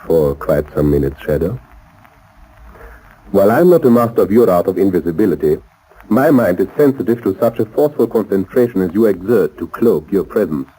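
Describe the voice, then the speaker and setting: An actor playing a suave, cold-blooded saboteur doctor in a 1930s radio drama, speaking formally and deliberately with polite menace. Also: male voice, sits low, lively intonation, warm tone, breathy edge, rough recording. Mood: calm, calculating. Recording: old 1930s radio recording.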